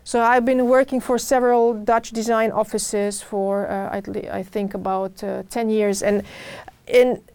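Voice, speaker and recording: A middle-aged woman with a Serbian accent answering interview questions about her work, often pausing and repeating words as she searches for phrasing. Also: mid-range voice, little pitch movement, darkish tone, smooth, dry recording. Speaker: podcast guest, urban designer.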